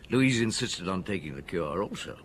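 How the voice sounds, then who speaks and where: A seasoned police detective in his fifties for an audio drama. The voice is very deep and moves freely up and down, wry and reflective. There is some faint noise behind it. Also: lively intonation, moderate pace. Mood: wry reminiscing. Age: 50s. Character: wry fifty-year-old French police detective on holiday. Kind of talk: audio drama dialogue and storytelling.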